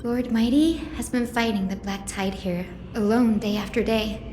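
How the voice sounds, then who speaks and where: A woman's voice: fairly high pitch, fairly even intonation, moderate pace, noisy background, lightly acted. A woman playing a kind, optimistic young priestess in a video game, speaking earnestly. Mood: grave, reflective. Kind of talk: scripted video game voice acting. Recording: echoey game audio with music behind.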